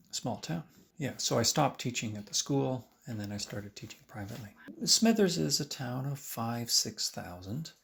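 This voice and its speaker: Male violin teacher, interview talk, gravelly edge, swinging pitch. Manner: animated, acts out his own past remarks, frequent restarts.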